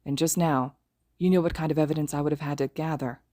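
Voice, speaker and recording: Lady doing a soft spoken young guy, grieving, breaks into shouting. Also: deep voice, steady tone, fast talker, dry recording, clean studio sound, natural voice. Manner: soft, then screaming.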